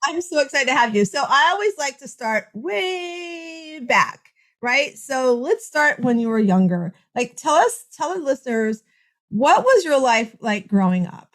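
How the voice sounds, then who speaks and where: A woman's voice: sits high, big pitch swings, a little slow, fairly bright tone. A woman hosting a podcast interview, upbeat and effusive as she welcomes her guest. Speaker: podcast host.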